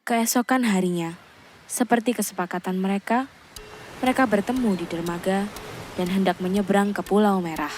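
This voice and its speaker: A woman tells a children's puppet story in a calm, even storybook voice. Her pitch sits in the middle range. Faint music plays under her. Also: moderate pace. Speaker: narrator.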